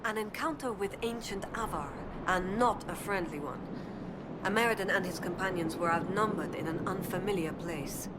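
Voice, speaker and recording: A woman's voice: on the low side, moderate pace, heavy background noise, natural voice. An actress playing a young female elven research assistant in a video game, earnest and determined, speaking in a precise, scholarly way. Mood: thoughtful, explanatory. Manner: precise, scholarly, thoughtful delivery.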